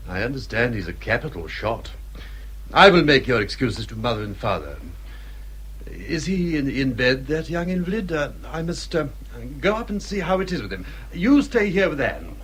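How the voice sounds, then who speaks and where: An actor playing an easygoing, sporting country husband in a period radio drama, with an English accent and an offhand, slightly hesitant manner. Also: male voice, medium pitch, very animated, normal speed, a bit bright, husky. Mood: breezy evasion.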